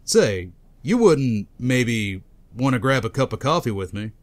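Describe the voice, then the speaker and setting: A man's voice: medium pitch, very animated, measured pace. A man playing a chatty, casual tough guy in a comic book dub. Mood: subdued, casual. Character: chatty, weary male police officer; also glib crook.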